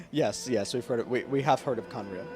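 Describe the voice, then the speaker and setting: A woman giving casual, chatty and playful commentary as she streams a video game. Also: extremely low pitch. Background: faint game music.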